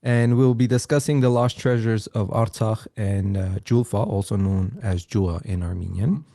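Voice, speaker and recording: A man's voice: lowish voice, normal speed, mellow, no room sound, clean studio sound. A man hosting a live podcast, chatty with many fillers, laughing easily as he introduces a guest. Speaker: podcast host. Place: podcast studio.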